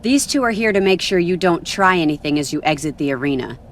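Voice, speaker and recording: A woman's voice: fairly low pitch, expressive, quick pace, a little hiss or hum, lightly acted. A woman playing an officious, authoritative general manager in a wrestling video game, controlled and firm. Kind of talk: scripted wrestling game cutscene acting.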